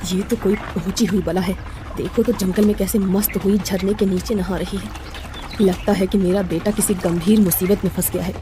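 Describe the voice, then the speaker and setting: A woman's voice: mid-range voice, fairly even intonation, moderate pace, noisy background, close to an everyday voice. Woman playing a worried village mother-in-law, dramatic. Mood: suspicious. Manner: dramatic, anxious.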